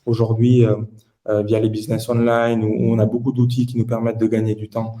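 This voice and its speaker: A man's voice: lowish voice, monotone, brisk, warm tone. A man in his late twenties from southern France, giving conversational answers as an entrepreneur guest on a podcast. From Toulouse, southern France.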